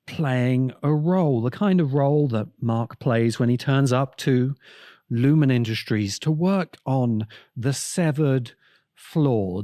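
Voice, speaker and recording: Slow, mellow man giving measured commentary. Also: medium pitch, dry recording, studio quality. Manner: measured, deliberate, dramatic pauses mid-sentence. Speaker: podcast host, critic. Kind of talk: essay-like scripted commentary on science fiction.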